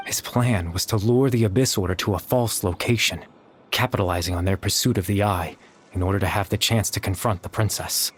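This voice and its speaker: An actor voicing a wistful young man in a video game, soft-spoken, reflective and measured. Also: on the low side, slight background noise, lightly acted. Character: wistful young man, imagined grown form of a lost child.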